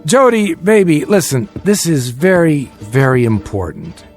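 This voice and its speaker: A man acting as a steady, guarded family father in a radio drama, speaking in a measured, emphatic way. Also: fairly high pitch, faint music behind. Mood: grave.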